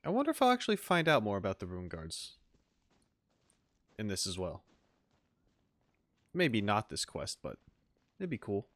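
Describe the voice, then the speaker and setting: A man's voice: lowish voice, huge pitch swings, normal speed, long pauses, a bit bright, no room sound. A man giving casual, dry, sarcastic let's-play commentary as a gaming streamer, close to the mic.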